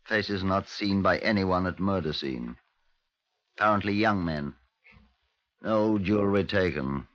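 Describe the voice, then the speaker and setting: An actor playing a sober, dry British police inspector in a 1950s radio drama, clipped and matter-of-fact. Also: male voice, low-pitched, fairly bright tone, no room sound. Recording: dull old radio recording.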